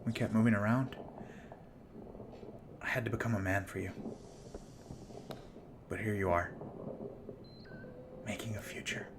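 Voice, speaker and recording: An actor playing a nervous, joking older-brother ship pilot. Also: male voice, fairly low pitch, fairly even intonation, noisy background, natural voice. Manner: nervous, joking, fast-talking bluffs. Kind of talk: fan audio drama acting.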